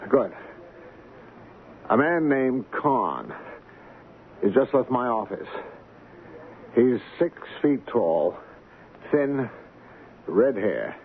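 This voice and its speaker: Old narrow radio sound, cautious executive, slow delivery, formal, dry, controlled, radio drama. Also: male voice, medium pitch, big pitch swings, full character voice. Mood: quietly commanding. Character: cautious, dry, measured male business executive. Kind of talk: 1970s radio drama acting. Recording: narrow-band old radio, faint noise.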